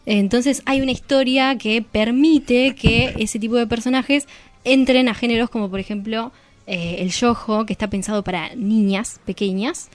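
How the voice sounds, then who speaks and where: A woman's voice: on the high side, fairly even intonation, normal speed, dry recording. A woman talking as a guest in a casual radio discussion, explaining things informally. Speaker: guest discussing comics and manga.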